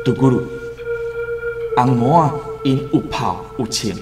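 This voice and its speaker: A Taiwanese-accented actor playing a cautious, worldly returned seafarer in a radio drama, solemn and deliberate. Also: male voice, medium pitch, natural voice. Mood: earnest, persuasive. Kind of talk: radio drama acting with first-person storytelling. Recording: music behind the voice.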